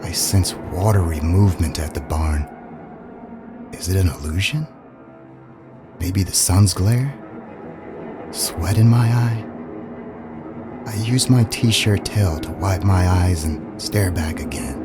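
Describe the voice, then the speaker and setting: Deep, slow-speaking male storyteller. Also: loud music behind the voice. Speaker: narrator. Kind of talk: dramatic first-person scripted story narration.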